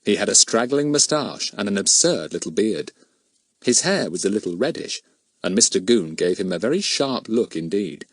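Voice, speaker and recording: A man narrating a children's story from a script in an expressive, animated way. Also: fairly low pitch, normal speed, no room sound. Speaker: audiobook narrator.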